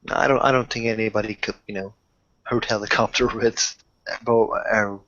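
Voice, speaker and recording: A man chatting casually as a player in a roleplaying group, halting and repeating his words. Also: fairly low pitch, steady tone, fairly bright tone, dull audio.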